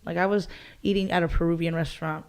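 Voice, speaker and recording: A young woman co-hosting a casual podcast chat, blunt, profane and quick to laugh. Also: fairly low pitch, fairly even intonation, darkish tone, clean tone. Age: young adult. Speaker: podcast co-host.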